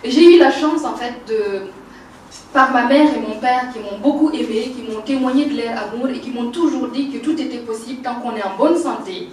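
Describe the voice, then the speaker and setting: A woman's voice: a little high, moderate pace, a little hiss or hum. A woman in her forties with a Burkinabe French accent, telling her life story with laughter and frequent hesitations. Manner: animated, laughing, many hesitations. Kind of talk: personal life story talk. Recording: roomy, distant mic, faint ambience.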